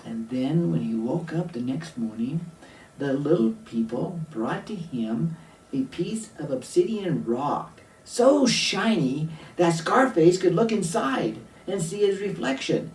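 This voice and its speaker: A man telling a story aloud to children, deliberate, with dramatic pauses and questions for the listener. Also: fairly high pitch, animated, a little mellow. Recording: roomy echo, distant mic, faint noise.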